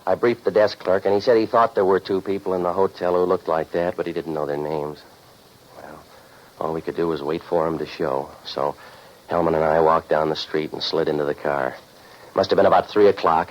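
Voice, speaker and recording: A very low-voiced American private eye, sardonic and deadpan, telling his case like 1940s radio noir. The old recording is dull, with some background noise. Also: a little fast. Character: hard-boiled, wisecracking male private eye narrating his case. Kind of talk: 1940s radio noir acting, scripted first-person narration.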